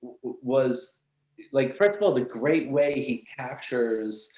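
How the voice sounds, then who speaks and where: A man with a mid-pitched voice talks in a noticeably echoey room. He is a guest percussionist in an informal discussion, rambling with enthusiasm and rephrasing as he goes. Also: takes it slowly, darkish tone. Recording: roomy, echoey sound.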